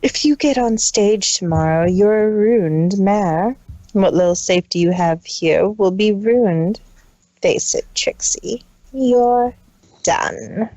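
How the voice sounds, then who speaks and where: A woman's voice: warm tone, no echo, close to an everyday voice. Woman as cold, cruel scheming pony sister. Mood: cold menace. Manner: low, deliberate, ice-cold delivery.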